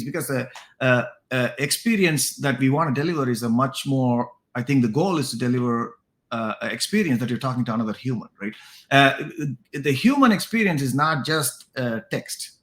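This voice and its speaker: A man's voice: medium pitch, a bit bright. Man thinking aloud in casual discussion. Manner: hesitant, thinking aloud, frequent "right?" tags. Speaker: co-host of a tech discussion show.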